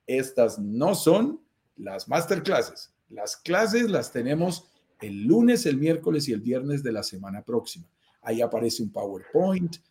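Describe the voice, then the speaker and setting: A man's voice: mid-range voice, a little mellow. A man with a Colombian accent who presents a conversational live show in a courteous, explanatory manner. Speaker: host and commercial director of a real estate investment business.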